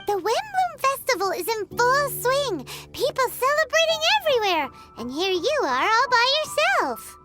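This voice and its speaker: A tiny, excitable fairy companion chatters in a squeaky, childlike voice, her pitch bouncing wildly up and down. She is eager and talkative, and speaks of herself in the third person. Loud game music plays beneath her. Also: moderate pace, hardly any pauses. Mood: chatty. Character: small floating female fairy companion, excitable. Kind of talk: video game dialogue.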